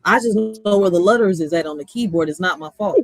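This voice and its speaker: A woman's voice: fairly low pitch, quick pace, no echo. A woman with a small, girlish voice, chatting casually in blunt slang and often raising her voice as a streamer. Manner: animated, blunt, slangy, raises voice. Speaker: streamer host. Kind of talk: casual reaction chat.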